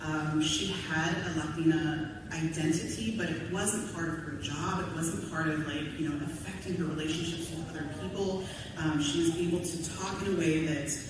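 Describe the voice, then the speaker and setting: A woman panelist giving candid, informal personal commentary at a convention panel, talking in a halting way with asides. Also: deep voice, fairly even intonation. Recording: echoey hall, distant mic, clear background noise.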